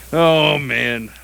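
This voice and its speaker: A man co-hosting a comedy news podcast, reacting to stories with quick quips and frequent laughter, often stumbling over his own sentences. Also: medium pitch, creaky, pushed and bright. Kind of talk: casual comedic banter.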